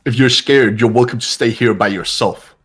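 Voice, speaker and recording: A man voicing a stern, resolute young leader in a video game, speaking gravely and with authority. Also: mid-range voice, moderate pace, a bit bright. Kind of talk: amateur voice acting of a video game.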